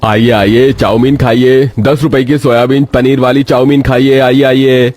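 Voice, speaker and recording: A man voicing a kindly, soft-hearted middle-aged noodle vendor and father in a story, friendly and fatherly. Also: mid-range voice, level tone, a little slow, lightly acted. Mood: cheerful.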